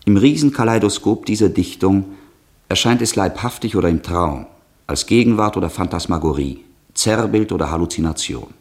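A man narrating a 1960s German radio drama introduction in a formal, solemn, measured, declamatory way. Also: low-pitched, darkish tone. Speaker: announcer and narrator.

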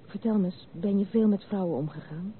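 A woman's voice: medium pitch, brisk, natural voice. An actress playing a spirited but wounded young noblewoman in a Dutch radio drama, speaking candidly and earnestly in literary phrases. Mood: probing, intent. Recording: narrow tinny old radio recording, faint hiss.

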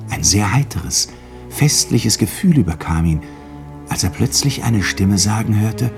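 A man's voice: fairly low pitch, lively intonation, unhurried, creaky, music in the background. A man giving scripted storytelling narration as the narrator of a 1960s radio play.

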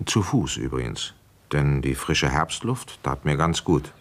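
Very deep-voiced, musing police inspector. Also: male voice, expressive, unhurried, full character voice. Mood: reflective, musing. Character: methodical male police inspector, musing and dutiful. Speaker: radio drama actor, narrator and lead. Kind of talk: German radio play narration and dialogue.

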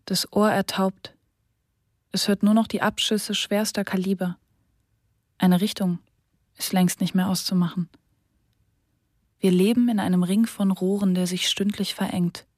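A woman reading a first-person account in a composed, measured radio drama narration. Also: mid-range voice, fairly even intonation, darkish tone, no room sound, clean studio sound. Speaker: reader voicing a diarist.

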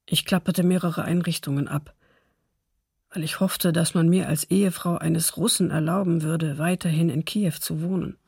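An actress playing a subdued woman witness, husky and creaky, recounting her memories in a documentary radio drama. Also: low voice, fairly even intonation, moderate pace, darkish tone, no echo, clean studio sound, heavily acted. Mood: subdued, bitter.

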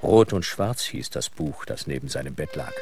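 Male narrator, deep voice, scripted radio-play storytelling, prominent accordion backing. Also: expressive, moderate pace, vocal fry. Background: accordion music.

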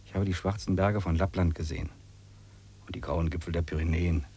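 Low, dark, husky musing wanderer. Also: male voice, fairly even intonation, fairly quick. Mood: brooding, questioning. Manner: musing, philosophical. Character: reflective German wanderer seeking freedom in the wilderness. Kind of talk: 1950s German radio drama acting.

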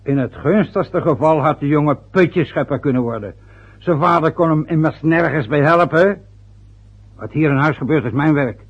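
A man's voice: on the high side, moderate pace, breathy edge. An actor in a radio play voicing a domineering, sarcastic middle-aged father who mocks and lords it over his family. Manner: mocking, overbearing, sarcastic. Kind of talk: radio drama acting. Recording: dull lo-fi radio recording.

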